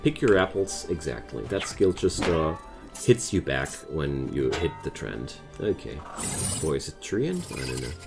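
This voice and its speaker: Very deep-voiced German man commentating gameplay. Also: very animated, measured pace, vocal fry. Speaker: gaming video creator. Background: music.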